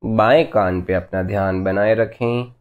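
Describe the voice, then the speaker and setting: A man leading a guided meditation as a teacher, giving gentle, unhurried instructions in short phrases with long pauses between them. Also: fairly low pitch, fairly even intonation, clear, resonant tone, phone-quality sound.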